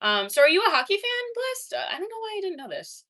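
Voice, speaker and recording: A young adult woman streaming, casually chatting with her viewers and reading their messages, laughing often and swinging between excited and quiet. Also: very high-pitched, fast. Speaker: livestreamer reading chat. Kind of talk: casual livestream chat.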